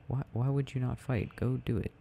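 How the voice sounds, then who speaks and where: A young adult man rambling softly and casually in a quiet, sleepy murmur as he plays a game, in an ASMR style. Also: medium pitch, fairly even intonation, brisk, gravelly. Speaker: audio roleplay creator. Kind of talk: soft casual rambling while gaming, ASMR sleep aid. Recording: faint background noise.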